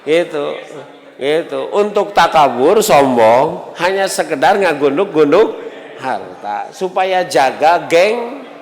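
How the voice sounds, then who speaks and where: High-voiced Sundanese male preacher, echoing hall. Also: expressive, slow pace. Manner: conversational, rhetorical questions and acted-out dialogue. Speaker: Islamic preacher. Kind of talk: sermon to a congregation. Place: large mosque hall. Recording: reverberant mosque hall.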